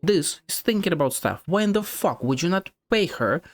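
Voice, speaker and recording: A man's voice: on the high side, expressive, normal speed, no room sound. A man giving casual, blunt playthrough commentary as a game streamer, musing offhand and swearing. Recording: game music behind the voice.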